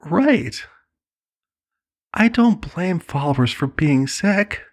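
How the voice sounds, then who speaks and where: A man's voice: fairly high pitch, very animated, slow, darkish tone, exaggerated voice. A man performing an ASMR roleplay as a serenely manipulative cult leader, soothing, condescending and persuasive. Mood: coaxing, reassuring.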